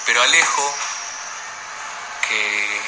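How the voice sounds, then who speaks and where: A man's voice: mid-range voice, drawn-out words. A man with an Argentine accent being interviewed, chatting casually with lots of slang. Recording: echoey, noisy on-location interview.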